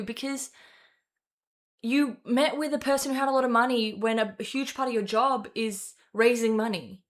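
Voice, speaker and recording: A young woman hosting a casual political commentary show, talking in a rambling way with swearing and quick self-corrections. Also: fairly high pitch, fairly bright tone. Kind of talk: casual opinion commentary to camera.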